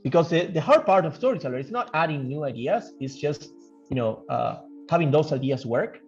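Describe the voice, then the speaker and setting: A man who works as a game developer gives casual interview answers, thinking aloud haltingly and repeating phrases. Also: fairly high pitch, lively intonation. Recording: dull lo-fi audio, music behind. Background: background music.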